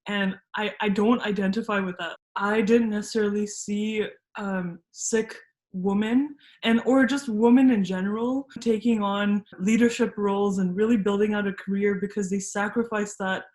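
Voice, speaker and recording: Young woman, interview subject, casual and candid, medium pitch, echoey room. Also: steady tone, moderate pace, darkish tone. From Malaysia. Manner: candid, reflective, casual with frequent fillers. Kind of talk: personal storytelling interview.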